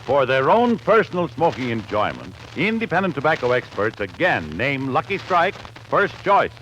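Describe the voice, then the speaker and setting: A faintly noisy old radio recording of a male announcer. He reads slogans emphatically in a bright, forceful voice with animated pitch. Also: normal speed. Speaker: commercial announcer.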